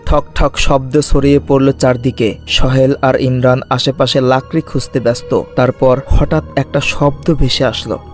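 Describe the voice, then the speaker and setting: Male horror story narrator, medium pitch. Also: level tone, normal speed, few pauses. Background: music.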